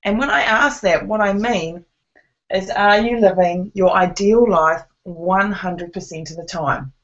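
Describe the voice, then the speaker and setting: Slightly croaky woman pitching on a call. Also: a little slow. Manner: earnest, persuasive, many fillers. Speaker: webinar presenter. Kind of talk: sales presentation on a webinar. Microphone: conference call line. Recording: dull lo-fi call audio.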